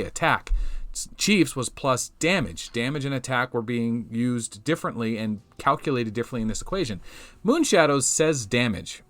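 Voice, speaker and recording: A young man, slightly hoarse from losing his voice, giving casual commentary over a mobile game replay and thinking aloud as he goes. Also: mid-range voice, fairly bright tone, no room sound. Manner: rambling, restarts often, thinks aloud. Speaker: game channel host.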